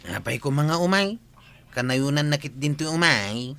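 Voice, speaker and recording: A man's voice: on the high side, expressive, moderate pace, bright, forceful tone, a little hiss or hum. A man acting a contrary, matter-of-fact village nephew in a comedic audio drama.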